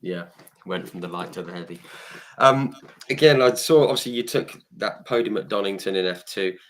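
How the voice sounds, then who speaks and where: A man's voice: low voice, normal speed. A man from the UK hosting a casual interview, reacting with short enthusiastic wows and yeahs. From United Kingdom. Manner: enthusiastic, frequent short reactions like wow and yeah. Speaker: interview host.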